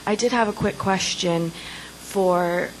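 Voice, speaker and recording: A woman's voice: lowish voice, slight background noise, low-quality recording. Polite woman asking a question. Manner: polite, measured. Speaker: audience member asking a question.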